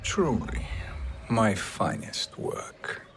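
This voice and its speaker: An actor playing a scheming, arrogant sorcerer in a video game, speaking in a silky, deliberate, menacing way. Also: male voice, fairly low pitch, huge pitch swings, noisy background, full character voice. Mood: smug, sinister. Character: scheming, arrogant sorcerer plotting an eternal empire. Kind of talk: video game villain acting.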